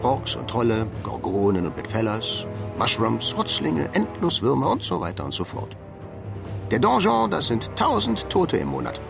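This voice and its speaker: An actor playing a boastful but cowardly, babbling duck with dreams of heroism in a comic fantasy radio drama, theatrical in delivery. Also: male voice, medium pitch, wildly swooping pitch, moderate pace, gravelly, exaggerated voice. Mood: grandiose. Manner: theatrical, stammering when pleading. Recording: music behind the voice.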